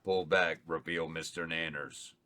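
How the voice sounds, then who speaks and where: A man reading stage directions aloud at a table read, slow and a little halting. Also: rumbling low. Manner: slow, flat, stumbles over words. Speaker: script reader of stage directions.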